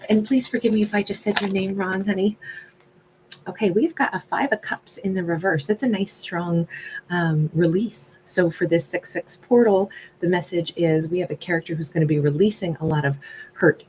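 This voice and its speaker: Low-voiced woman giving a chatty tarot reading. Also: moderate pace, noisy background. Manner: chatty, reflective, loose asides. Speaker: tarot reader. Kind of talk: live-stream tarot reading.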